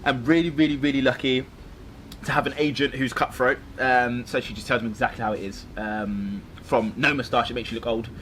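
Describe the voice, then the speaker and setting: A young man from London who grew up in Norwich, chatting casually as a guest, jokey and animated with frequent laughs. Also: medium pitch, brisk, slight background noise. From London and Norwich, England. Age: young adult. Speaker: actor and social media creator, guest. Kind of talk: casual chat.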